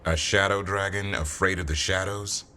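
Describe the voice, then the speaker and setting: An actor playing a gruff, protective warrior bodyguard with a wry, blunt manner in a fantasy video game. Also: male voice, low voice, fairly even intonation, normal speed, pushed and bright, a little hiss or hum. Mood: grim, businesslike. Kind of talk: dramatic video game dialogue.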